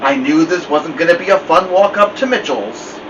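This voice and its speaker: Male story-time reader, expressive storybook narration, slightly high voice, dull lo-fi recording. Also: moderate pace. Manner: expressive read-aloud delivery. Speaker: story-time host reading aloud. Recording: muffled lo-fi, distant mic, loud hiss.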